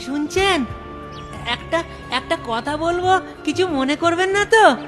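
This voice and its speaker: A woman's voice: extremely high pitch, lively intonation, measured pace, fairly bright tone, soft background music, full character voice. A woman voicing a simple-hearted, earnest village boy in a fairy-tale cartoon, often hesitating and repeating words. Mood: polite, hesitant. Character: naive, simple-hearted village boy devoted to his mother.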